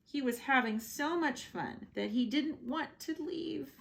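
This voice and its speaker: A woman's voice: high voice, unhurried, gravelly. A woman reading a children's picture book aloud as a bedtime story, expressively and with short asides. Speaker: storybook reader.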